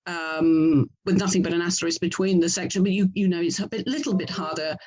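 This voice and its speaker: A woman's voice: fairly low pitch, brisk, a little mellow, breathy edge. A woman from England speaking as an interview guest, thinking aloud and often correcting herself as she explains. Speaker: interview guest, author and audio drama producer. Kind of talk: conversational interview.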